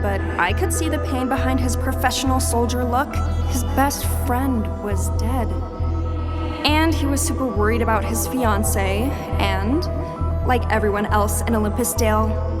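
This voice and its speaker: A woman's voice: a little high, natural voice. An actress playing a young woman ghost who narrates an audio drama in a confiding, sardonic way. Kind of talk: first-person scripted audio drama narration. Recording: long reverb, music behind.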